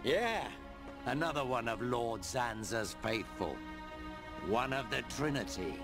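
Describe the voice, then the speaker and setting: Game villain: male actor as battle-hardened British older man, unhurried sneer, gruff taunts over loud music. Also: medium pitch, animated, lightly acted. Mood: irritated, scornful. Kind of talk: video game cutscene acting. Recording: loud music under the dialogue.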